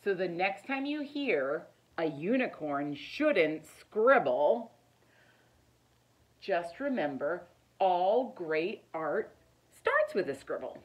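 A middle-aged woman reads a children's picture book aloud in an expressive, deliberate storytelling manner. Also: lowish voice. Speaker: teacher reading aloud. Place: living room, indoors.

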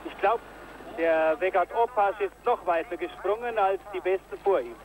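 Man, ski jumping commentator, high voice, excited on big jumps, old broadcast tape. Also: normal speed, background noise. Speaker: sports commentator.

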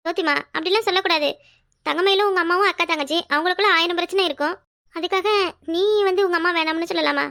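A woman playing a kindly middle-aged mother-in-law in a domestic drama, gently chiding and coaxing. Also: very high-pitched, fast talker, no room sound, clean studio sound, heavily acted. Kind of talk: domestic serial drama acting.

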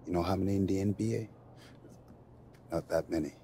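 An actor playing a wry, proud, middle-aged basketball coach in a video game cutscene, with a deliberate, dry manner. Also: male voice, low-pitched, steady tone, dark tone, a little hiss or hum.

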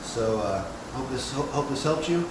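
A man's voice: medium pitch, animated, normal speed. Easygoing man in an echoey bait room. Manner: easygoing, many "uh" fillers, step-by-step. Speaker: fishing channel host. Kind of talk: casual how-to demonstration. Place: small indoor bait room, hard walls. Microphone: wide camera several feet away. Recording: roomy echo, clear background hum.

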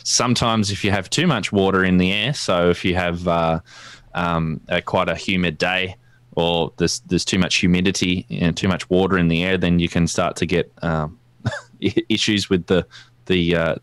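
Australian man, deep voice, dry close sound, informal technical talk, halting explanatory delivery. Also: fairly even intonation, a bit bright, no room sound. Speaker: guest presenter, amateur radio enthusiast. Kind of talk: informal technical presentation with slides. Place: indoors at a desk, video call.

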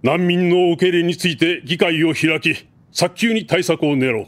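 Man doing a stately middle-aged king, formal and slow, drama cd. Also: fairly high pitch, close to an everyday voice. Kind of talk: anime drama CD acting.

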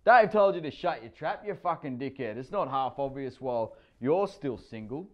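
A man's voice: mid-range voice, animated, normal speed, dull audio, natural voice. A man doing a crude jeering Aussie mate. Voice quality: coarse, swearing, jeering.

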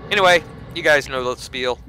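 A man's voice: fairly high pitch, a little fast, bright, forceful tone, poor audio. A man giving casual game commentary as host of a gaming video, with game ambience behind him. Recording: clear background noise.

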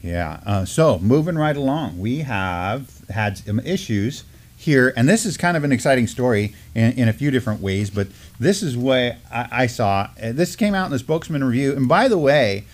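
A man's voice: mid-range voice, animated, normal speed. A middle-aged man co-hosting a casual news discussion in loose, informal phrasing. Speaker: co-host. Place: small studio, seated at a table.

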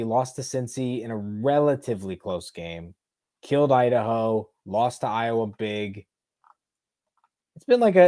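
Excitable young man streaming from home. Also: medium pitch. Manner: excitable, rambling, sarcastic asides. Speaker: sports reaction streamer. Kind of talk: live post-game reaction. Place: small bedroom at home. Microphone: earbud headset mic on a webcam stream.

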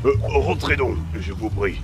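A man voicing a gruff demon doorman who can turn nervously servile, in a French animated fan dub. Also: mid-range voice, a little slow, lightly acted. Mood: apologetic. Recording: music behind the voice.

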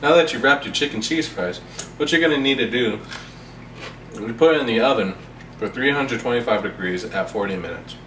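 A man's voice: medium pitch, fairly quick. A young man hosting a spoof cooking show, recorded on a camera mic set back from him in a home kitchen. His voice bounces around the room and carries a layer of hiss. He tells ridiculous stories about the food with a straight face. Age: young adult. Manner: deadpan, absurd tall tales told straight. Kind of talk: mock cooking show, deadpan parody. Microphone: camera mic from a few feet away. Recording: echoey kitchen, distant camera mic, noisy.

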